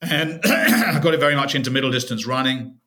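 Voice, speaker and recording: Middle-aged british guy, guest on a podcast, bright clear voice, reflective storytelling. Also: mid-range voice, big pitch swings, fairly quick. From United Kingdom. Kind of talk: personal testimony in an interview.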